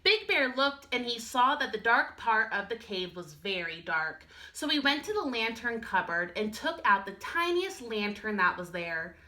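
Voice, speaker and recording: A woman teacher reading a picture book aloud to young children, expressive and speaking to them directly. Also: a little high, moderate pace, few pauses. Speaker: first-grade teacher.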